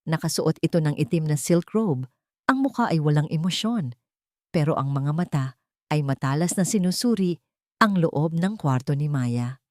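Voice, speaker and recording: A woman's voice: low-pitched, animated, moderate pace, a little mellow, no room sound, studio quality. A woman narrating a scripted dramatic story as its storyteller.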